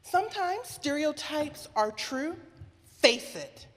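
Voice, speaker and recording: A woman recites short scripted statements in a fairly high voice. Her delivery is slow and very expressive in pitch, and it rings through a large reverberant hall. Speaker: reader of short personal statements.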